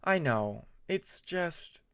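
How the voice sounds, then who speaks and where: A man voicing a gentle, courteous older female dragon diplomat in an audiobook. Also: a little high, animated, very slow, creaky, smooth, no room sound, studio quality, heavily acted. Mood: concerned, wistful.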